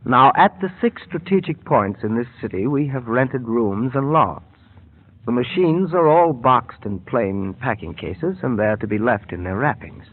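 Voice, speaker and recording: An actor playing a scheming scientist who explains things in a measured, formal way, in 1930s radio style. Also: male voice, mid-range voice, lively intonation. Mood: earnest, formal. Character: smooth scheming scientist posing as earnest inventor. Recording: old muffled radio broadcast.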